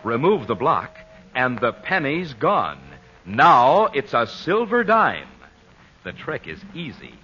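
A man announcing and narrating an old-time radio show in a theatrical, deliberate, emphatic scripted style. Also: medium pitch, wildly swooping pitch, bright, forceful tone, husky. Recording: dull old radio recording, faint music.

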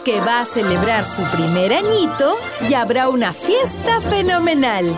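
A woman narrating a rhyming children's story in a slow, sing-song, very expressive way. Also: on the high side. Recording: narration over loud background music.

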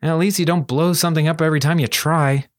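A man's voice: on the high side, fairly even intonation, fast, dry recording, clean studio sound, voice pushed up, natural voice. A man voicing a defensive, self-deprecating young wizard schoolboy who protests and mumbles, in a storybook reading. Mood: defensive, glum.

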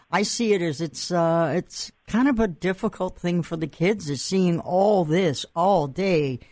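A man's voice: a little high, a little mellow, no echo. An older man with a Massachusetts accent hosting an interview, talking in a chatty, rambling way with restarts and fillers. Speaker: host. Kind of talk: radio or podcast interview.

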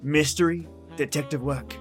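A man's voice: a little high, fairly even intonation, normal speed. A man introducing a podcast episode in a chummy, theatrical, intimate style, addressing listeners directly. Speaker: podcast host. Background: soft guitar music.

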